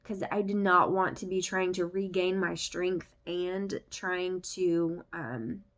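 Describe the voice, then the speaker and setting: A woman's voice: on the low side, steady tone, slow. A young woman vlogging casually, rambling with lots of fillers, her voice still congested from the flu. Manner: chatty, rambling with many fillers. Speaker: family vlogger. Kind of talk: casual chat to camera.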